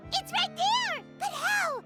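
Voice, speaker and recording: A woman voicing a tiny, excitable fairy companion in a video game, childlike and squeaky. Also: full character voice. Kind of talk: cartoonish video game voice acting. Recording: game dialogue under loud music.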